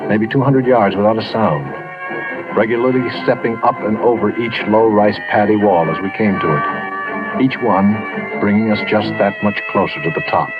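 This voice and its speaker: An American man playing a weary, haunted war veteran who tells his story in a reflective, deliberate radio drama style. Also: fairly low pitch, wildly swooping pitch. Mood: tense, grave. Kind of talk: vintage radio drama acting and narration. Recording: muffled vintage radio recording, music behind.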